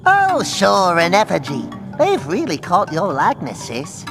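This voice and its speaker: A man voicing a zany, wisecracking, slightly unhinged cartoon kid brother in a video game, gravelly and clownish. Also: high voice, huge pitch swings, unhurried, full character voice. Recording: loud music behind the voice.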